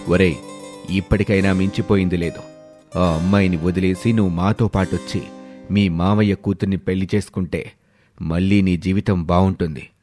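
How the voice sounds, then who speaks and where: A man's voice: low-pitched, steady tone, normal speed, darkish tone, faint music behind, voice pushed down, lightly acted. A man voicing a stern older father in a story, insistent and commanding with his grown son.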